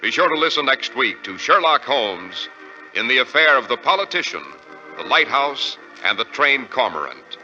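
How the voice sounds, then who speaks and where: An American male radio announcer reads in a polished, formal 1940s style. His delivery is lively and varied, over organ music on a dull, old lo-fi recording. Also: mid-range voice, moderate pace.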